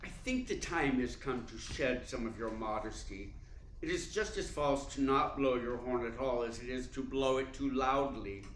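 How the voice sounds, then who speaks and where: An actor playing an urbane, cunning theater columnist in a radio play, speaking in a suave, deliberate, formal way. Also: male voice, mid-range voice, fairly bright tone, lightly acted. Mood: suave, probing. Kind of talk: radio play narration and dialogue. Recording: roomy echo, faint background noise.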